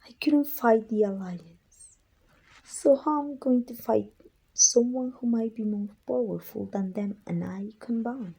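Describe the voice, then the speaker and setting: A woman playing a proud, weary evil queen and sorceress in an ASMR roleplay, with a husky, breathy voice and an imperious, deliberate delivery. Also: a little high, dark tone, exaggerated voice, rough recording. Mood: exasperated, commanding. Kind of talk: scripted ASMR roleplay acting.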